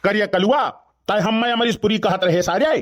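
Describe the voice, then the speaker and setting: Man acting an irritable cartoon dad, male, notably high voice, rustic Awadhi accent, scolding, bossy villager. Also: moderate pace, close to an everyday voice. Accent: Awadhi, eastern Uttar Pradesh. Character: irritable, scolding village father of a young woman.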